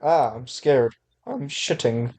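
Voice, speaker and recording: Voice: medium pitch, takes it slowly, a little mellow. A reaction co-host chatting casually, with crude jokes and mock-scared commentary. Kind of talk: casual joking reaction chat.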